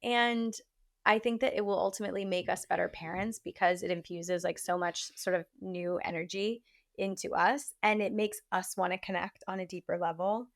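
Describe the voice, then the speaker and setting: A woman's voice: mid-range voice, steady tone, normal speed, creaky. A woman hosting a podcast, chatting frankly and casually and giving relationship advice with many "like" fillers. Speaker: podcast host.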